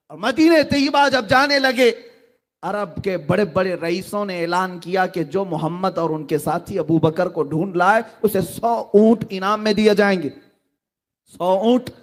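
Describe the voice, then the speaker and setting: Guy with a high voice giving a sermon, goes quiet then suddenly loud and fired up. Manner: dramatic, swinging between low tones and loud exclamations. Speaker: preacher. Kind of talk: sermon storytelling.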